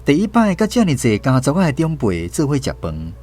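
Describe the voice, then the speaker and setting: A Taiwanese man tells a story as a radio drama narrator. His mid-range voice moves with very lively intonation over clear guitar music. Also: mid-range voice, fairly quick. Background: acoustic guitar music.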